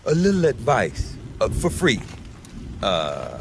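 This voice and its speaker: A man's voice: lowish voice, wildly swooping pitch, slow, gravelly, noisy background, heavily acted. A man playing a wry, world-weary traveling trader in a video game, with a folksy manner. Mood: sardonic, chatty. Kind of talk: video game character dialogue.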